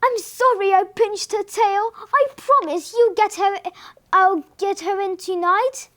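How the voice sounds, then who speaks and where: A woman's voice: extremely high pitch, unhurried, a bit bright, voice pushed up. A woman voicing a stubborn, grumbling elderly husband who is kind underneath, sounding exasperated.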